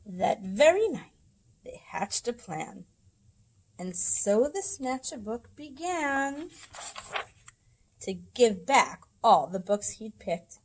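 A woman in her thirties reading rhyming picture books aloud to children, with dramatic, deliberate storybook phrasing. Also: fairly high pitch, big pitch swings, a little hiss or hum. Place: indoors in a library room.

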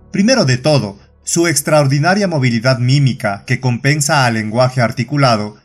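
A man narrates a story in a measured, even reading voice of middling pitch. Soft music plays faintly behind him, and the whole thing has the feel of an audiobook.

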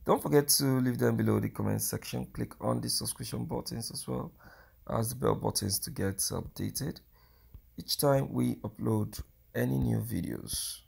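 Male channel host, very gravelly creaky voice, halting unscripted commentary. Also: mid-range voice, darkish tone. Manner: halting, repeats words, filler "uh". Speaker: news commentator. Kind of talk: casual news commentary.

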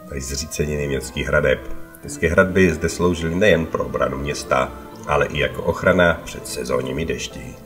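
A man's voice: a bass voice, over music. A man narrating a travel vlog from a prepared script, as a voice-over.

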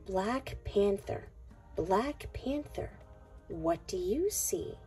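Woman, children's read-aloud, very slow sing-song pacing, soft mellow tone, faint music behind. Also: mid-range voice, expressive. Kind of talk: picture book read-aloud for young children.

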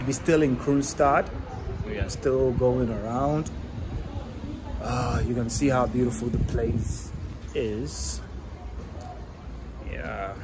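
Slow-talking young man vlogging outdoors. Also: medium pitch. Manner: chatty, repeats shout-outs and asks viewers to subscribe. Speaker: travel vlogger. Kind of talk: casual walking-tour vlog. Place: outdoors on a town street. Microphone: phone camera held at arm's length. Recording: street noise on a walking phone video. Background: traffic and street noise.

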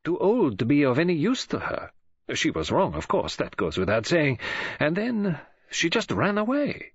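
A man playing a pompous older foreign detective in an audiobook, speaking formally, precisely and with deliberate self-assurance. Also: on the high side, wildly swooping pitch, slightly rough, no echo, lo-fi sound, voice pushed up, exaggerated voice. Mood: troubled, insistent.

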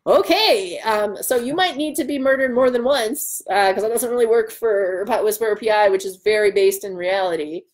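A woman in her thirties hosting a casual live stream from home, loud, excitable and bantering as she answers comments. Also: mid-range voice, normal speed, hardly any pauses. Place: room at home by a large window.

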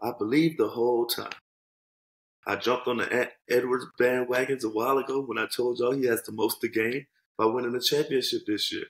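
A man co-hosting a casual sports podcast, animated and joking, swearing freely as he talks over a video call. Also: mid-range voice, brisk, echoey room, poor audio. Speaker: podcast co-host. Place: home room. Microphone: headset on video call.

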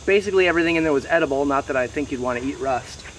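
A man in his thirties guides an outdoor tour in a casual, explanatory way, with pauses as he thinks. His voice sits a little high. He is some way from the camera's mic, with clear outdoor noise and insects around him. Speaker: host giving a garden tour. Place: outdoors in a wooded backyard garden. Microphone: camera mic several meters away. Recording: distant camera mic, noisy outdoor ambience.

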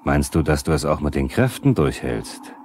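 A very low-voiced male narrator in a 1970s radio drama. He is sober and probing as he addresses the characters directly. The old vinyl recording carries faint noise. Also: very animated, moderate pace, a little mellow.

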